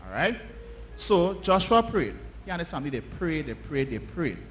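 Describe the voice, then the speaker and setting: A man's voice: a little high, sing-song, moderate pace, soft background music. A Trinidadian man telling a story informally to a church congregation, conversational and checking in with his listeners using short tag questions. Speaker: church children's story teller. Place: church, speaking to children and congregation. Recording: noisy live church recording, often distant.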